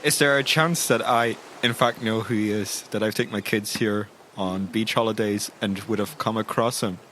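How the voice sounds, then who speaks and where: A man's voice: on the low side, steady tone, normal speed, fairly bright tone, a little hiss or hum. A man playing a tabletop roleplaying game, improvising conversational, argumentative banter in character.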